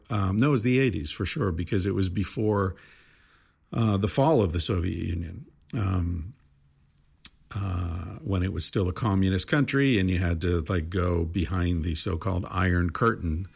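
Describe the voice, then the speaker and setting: A middle-aged man with a low, gravelly voice introduces his podcast in a loose, rambling way. He swears easily and fills pauses with ums and uhs. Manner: rambling, casual, swears freely, many fillers. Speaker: podcast host. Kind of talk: casual podcast intro monologue.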